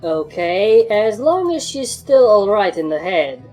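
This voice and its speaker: A woman's voice: mid-range voice, big pitch swings, unhurried, warm tone, faint music behind. A woman voicing an assertive, sarcastic female pony adventurer in a fan fiction story, with a dry, bossy manner. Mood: mildly concerned.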